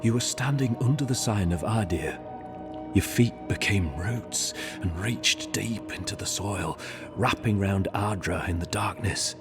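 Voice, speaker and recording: A man's voice: lowish voice, measured pace. A man playing a solemn, honorable assassin and devout zealot in a video game, speaking with grave, formal earnestness. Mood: grave, earnest. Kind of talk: dramatic video game dialogue. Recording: game music behind the voice. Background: music.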